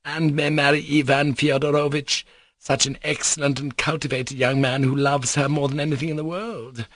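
A man's voice: a little high, little pitch movement, moderate pace, fairly bright tone, no room sound, clean studio sound, pitched above the performer's own voice. A man voicing a gushing, flighty middle-aged society mother who exclaims and repeats herself in an audiobook story. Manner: effusive, repetitive, exclaiming.

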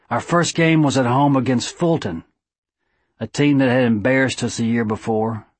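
A man voicing an aging, earnest small-town judge with a squeaky voice and a thick Southern drawl, giving an audiobook eulogy. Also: no room sound, close to an everyday voice. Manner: drawling, oratorical.

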